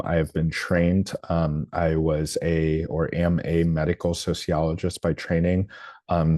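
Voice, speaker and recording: A deep-voiced man from New Orleans presents a training workshop over a video call. His mellow tone is broken by frequent ums and false starts, and the sound is close and dry. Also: no echo. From New Orleans, United States. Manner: hesitant, lots of ums and restarts.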